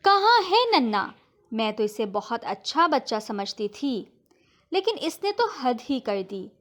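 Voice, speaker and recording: A woman's voice: fairly high pitch, sing-song, measured pace, a bit bright. A woman voicing an angry neighbourhood mother who has come to complain in an indignant, scolding manner, in a children's moral story.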